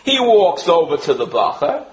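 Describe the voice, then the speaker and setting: A man giving a religious lecture, an animated storyteller who illustrates points with stories and quoted voices. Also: fairly high pitch, normal speed, low-quality recording. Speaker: rabbi teaching a lecture.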